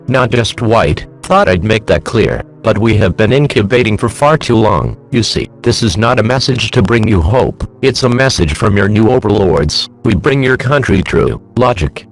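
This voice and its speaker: A man playing a grandiose, mocking villain who delivers a pompous, taunting monologue in an audio drama. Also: on the low side. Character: smug male villain, would-be overlord, mocking and grandiose.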